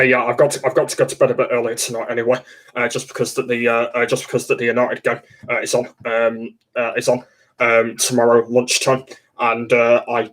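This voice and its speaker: A man's voice: mid-range voice, a bit bright. A young man, chatting casually on a home livestream and stumbling through constant "uh" fillers and restarts. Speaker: livestream host. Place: dark room at home, indoors.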